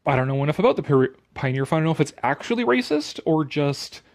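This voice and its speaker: Man, slightly high voice, dramatic article reading, casual commentary, sarcastic, dismissive, blunt. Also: very animated, quick pace. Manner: blunt, heavy swearing. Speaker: online commentator.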